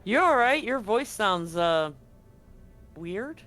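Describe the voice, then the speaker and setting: A man's voice: high voice, big pitch swings, slow, bright, forceful tone, faint music behind, voice pushed up, full character voice. A man voicing a ship crew member in a tabletop game, chatty and teasing over the radio.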